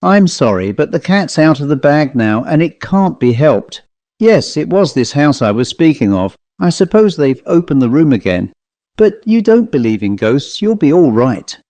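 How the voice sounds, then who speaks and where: A man's voice: a little high, big pitch swings, moderate pace, dark tone, no echo. Man voicing a dry, haunted travelling salesman. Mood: dryly apologetic. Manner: dry, conversational, colloquial. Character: haunted male commercial traveller, plain-spoken teller of grim tales. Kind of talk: dramatised storybook monologue.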